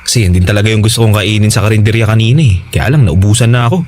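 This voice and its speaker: An actor playing an easygoing, evasive tricycle-driver husband in a Tagalog radio drama, casual, hesitant and placating. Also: male voice, fairly low pitch, very fast, darkish tone, slight background noise, lightly acted. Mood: easygoing, affectionate.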